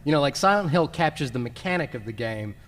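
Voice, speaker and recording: A man's voice: mid-range voice, animated, fast talker, a bit bright. A man co-hosting a live podcast panel, agreeable and conversational, recorded in a convention hall. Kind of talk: casual live panel discussion. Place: large indoor convention panel room with live audience.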